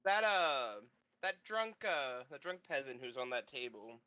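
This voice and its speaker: A man's voice: medium pitch, very animated, measured pace, pushed and bright, smooth. A man running a tabletop game as game master, improvising narration and chatting casually with the players. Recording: dull lo-fi voice-chat mic.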